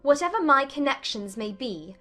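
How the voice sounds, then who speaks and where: Young gentlewoman played by a woman, high voice, poised and defiant, articulate period audiobook delivery over soft music. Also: lively intonation, moderate pace. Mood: resolute. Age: young adult. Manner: composed, articulate, firm. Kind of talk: dramatized audiobook acting.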